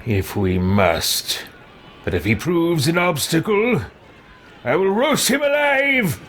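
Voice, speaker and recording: A man hosting a roleplay let's play, commenting in character in a theatrical, deliberate and haughty way. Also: a little high, big pitch swings, fairly bright tone, slight background noise.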